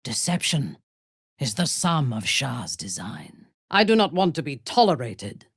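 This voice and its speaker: An actress voicing a haughty, ruthless female warrior zealot in a video game, speaking in a formal, imperious, deliberate way with a husky voice. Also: very deep voice, sing-song, no room sound, clean studio sound, exaggerated voice. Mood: grim, brooding. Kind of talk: video game character voice lines.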